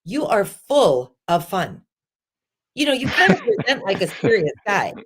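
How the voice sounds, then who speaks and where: A woman in her forties hosting a casual interview, animated and laughing often. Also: medium pitch, unhurried, husky. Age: 40s. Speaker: host.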